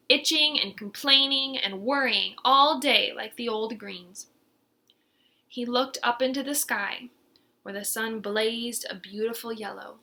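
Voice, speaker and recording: Woman doing kids book read aloud, bright slightly high voice, expressive and dramatic. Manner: dramatic, deliberate emphasis. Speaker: storybook reader.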